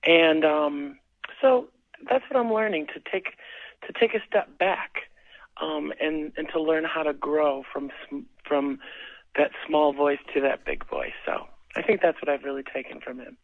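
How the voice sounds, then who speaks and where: A woman's voice: low voice, a little slow, dry recording. A woman calling into a radio show as a singing contestant. She talks in a bubbly, giggly, chatty way. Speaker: singing contestant, phone guest. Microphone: telephone. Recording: tinny phone line.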